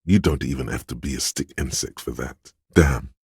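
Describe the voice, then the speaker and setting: A man voicing a suave, wry inner voice of a detective in a video game, narrating in a measured, knowing way. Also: rumbling low, darkish tone, breathy edge, no room sound, studio quality, full character voice. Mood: cool, deliberate. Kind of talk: second-person game narration.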